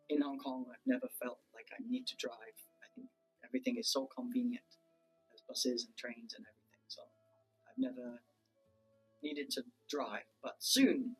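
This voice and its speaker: Gravelly, husky British man. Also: medium pitch, poor audio. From United Kingdom. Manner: cheerful, easygoing, lots of ums. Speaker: teacher being interviewed. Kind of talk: casual interview answers.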